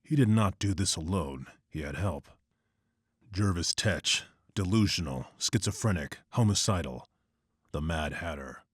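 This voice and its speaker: A man's voice: low voice, expressive, a little slow, breathy edge, no echo, clean studio sound, heavily acted. A man voicing a grim, brooding vigilante detective who narrates in terse, clipped lines. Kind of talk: comic narration.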